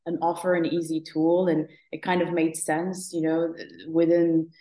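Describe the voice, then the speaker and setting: A woman's voice: low-pitched, completely flat delivery, moderate pace, dark tone, reverberant. A woman in her thirties from Morocco, a guest telling her story in a conversational interview, reflective and thoughtful, with many fillers and restarts. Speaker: guest, app founder.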